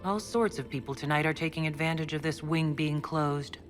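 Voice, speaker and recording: Hero, male man actor: calm, direct, questioning, slightly high-pitched, faint music. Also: moderate pace, close to an everyday voice. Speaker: player character, the Inquisitor. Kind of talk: video game cutscene dialogue.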